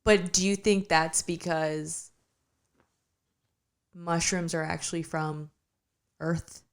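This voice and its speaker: A woman's voice: on the low side, steady tone, slow, clear, resonant tone. A woman co-hosting a podcast, chatting in an earnest, reflective way with frequent fillers. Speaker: podcast co-host. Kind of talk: casual chat.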